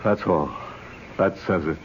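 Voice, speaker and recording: An actor playing a calm, stern doctor, measured and authoritative, in an old-time radio drama on a dull, hissy recording. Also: male voice, low voice. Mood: solemn, instructing. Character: calm, commanding male doctor, stern peacemaker.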